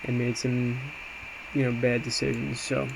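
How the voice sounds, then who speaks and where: Man, mid-pitched, little intonation, conversational, hesitant, self-reflective, casual screen-share commentary. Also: a little slow. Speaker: amateur day trader recapping trades. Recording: clear steady background noise.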